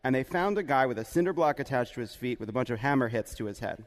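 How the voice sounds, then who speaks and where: A man's voice: mid-range voice, expressive, quick pace. A man telling stories about politics in a casual, comic way, often laughing as he talks. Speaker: podcast host. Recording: echoey live hall.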